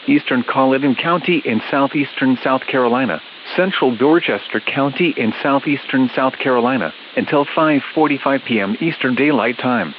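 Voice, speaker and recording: A male announcer with a mid-pitched voice delivers an official severe weather alert. His reading is measured and impersonal, strictly scripted. The audio is dull and band-limited, with a light radio hiss. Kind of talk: formal scripted emergency weather bulletin.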